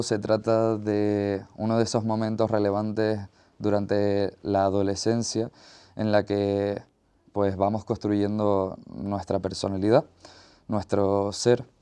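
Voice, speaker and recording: Slow, low-voiced Canarian man. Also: mellow, clear, resonant tone. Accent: Canarian Spanish. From Tenerife, Canary Islands, Spain. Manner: measured, thoughtful, pauses mid-phrase. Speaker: podcast host. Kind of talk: reflective solo podcast monologue.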